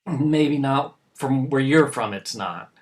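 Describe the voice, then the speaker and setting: Man acting proud middle-aged father, stern yet regretful, defensive, halting delivery, mid-pitched, drama dialogue. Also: natural voice. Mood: stubborn, sorrowful.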